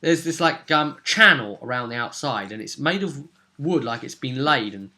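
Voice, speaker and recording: Bright-voiced man recapping a tabletop game. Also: mid-range voice, lively intonation, normal speed. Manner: informal, rambling storyteller. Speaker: game master. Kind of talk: casual recap of a tabletop role-playing session.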